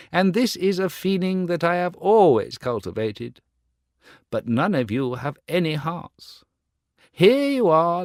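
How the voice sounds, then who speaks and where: A man's voice: mid-range voice, no room sound, studio quality. A man voicing a vain, pompous male firework rocket in a storybook reading. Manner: pompous, self-important, indignant.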